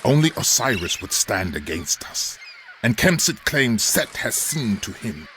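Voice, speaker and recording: An actor playing an arrogant, threatening cyclops warlord in a video game, gritty and imperious in a theatrical way. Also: male voice, fairly low pitch, sing-song, measured pace, slight background noise, full character voice. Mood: scornful. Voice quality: gritty, forceful. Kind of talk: video game cutscene acting.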